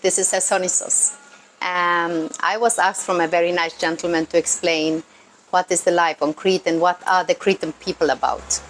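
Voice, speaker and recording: A woman's voice: on the low side, low-quality recording. A woman from Croatia with a Croatian accent explains things off the cuff in English as a non-native speaker, earnest and emphatic, repeating words for stress. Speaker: local tourism worker. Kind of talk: unscripted explanatory talk to camera. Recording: noticeable ambient background noise.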